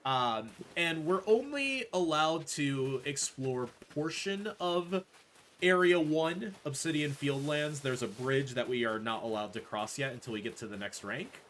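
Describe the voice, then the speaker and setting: Bright, lively, higher-voiced man commentating. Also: unhurried, slight background noise. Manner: casual, explanatory, gamer jargon. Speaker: speedrunner playing and explaining the run. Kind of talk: live speedrun commentary.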